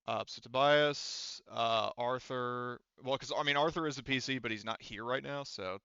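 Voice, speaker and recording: A man's voice: medium pitch, moderate pace, vocal fry, sharp tone, clean tone, dry recording, muffled recording. A man running a tabletop roleplaying game as game master, explaining casually in a rambling, self-correcting way.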